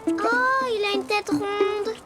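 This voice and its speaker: A woman voicing a small, cheerful girl bird in a children's cartoon, excitable and eager. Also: very high-pitched, takes it slowly. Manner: excitable, squealing with joy. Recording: loud music behind.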